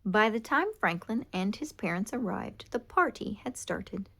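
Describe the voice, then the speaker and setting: Slow, expressive woman reading a story. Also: medium pitch, vocal fry, a little hiss or hum. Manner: expressive and animated. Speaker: storybook reader. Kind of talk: children's read-aloud narration.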